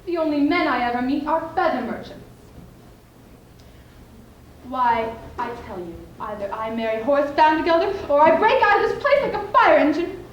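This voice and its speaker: In an echoey room with some noise behind, a young woman acts out a spirited widow on stage with a high voice. The scheming woman speaks emphatically, leaving deliberate, theatrical pauses. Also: big pitch swings, dull audio. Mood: indignant, spirited. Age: young adult. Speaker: student speech team performer. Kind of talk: theatrical dramatic monologue on stage. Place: indoor school showcase stage. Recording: echoey room, clearly audible background noise.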